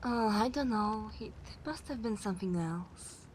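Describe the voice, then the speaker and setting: A woman playing a haughty, teasing vampire mistress in an ASMR roleplay, speaking formally and imperiously in a soft, breathy way. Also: medium pitch, animated, unhurried, a little hiss or hum, natural voice, poor audio. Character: haughty, teasing female vampire mistress, possessive but willing to share.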